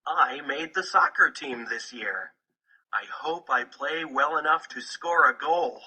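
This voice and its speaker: A man's voice: on the high side, measured pace, pushed and bright, breathy edge, low-quality recording. A man narrating a children's picture book from a script. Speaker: audiobook narrator.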